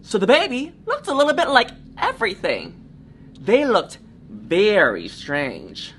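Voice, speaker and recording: A woman's voice: medium pitch, huge pitch swings, unhurried, fairly bright tone, slight background noise. A woman reading a children's picture book aloud in a theatrical, encouraging manner, often addressing young listeners directly. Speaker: drag artist storytime reader.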